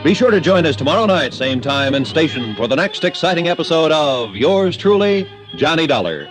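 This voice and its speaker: A man reads the show's opening and credits in a crisp, declamatory announcer style. His voice is fairly high, with music behind it. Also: very animated, moderate pace. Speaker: radio announcer. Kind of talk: formal announcing.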